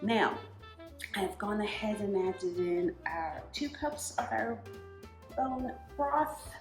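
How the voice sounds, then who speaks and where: Woman, cooking show host, relaxed slow pace, chatty with fillers, echoey kitchen, faint music. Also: medium pitch. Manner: chatty, with filler words and asides. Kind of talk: casual home cooking demonstration.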